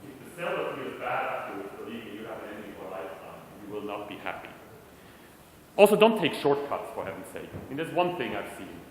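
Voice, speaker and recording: A man's voice: lowish voice, big pitch swings, fast talker. A middle-aged man giving a conversational, self-deprecating conference talk on stage. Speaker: conference speaker. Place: large hall. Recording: echoey hall, faint room noise.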